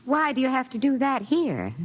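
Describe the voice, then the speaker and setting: A woman's voice: high-pitched, wildly swooping pitch, moderate pace, exaggerated voice. An actress playing a kindly, fussing older American mother, homely and solicitous, in a 1950s radio drama style. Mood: gentle, coaxing. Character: kindly, fussing, folksy mother of grown sons. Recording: muffled old radio recording with music.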